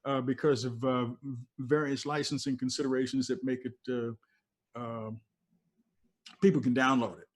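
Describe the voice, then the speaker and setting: A man's voice: medium pitch, level tone, normal speed. An older American man who worked as a radio DJ, telling stories casually in an emphatic, explanatory way that often wanders off. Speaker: veteran radio DJ, interview guest. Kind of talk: casual conversational storytelling.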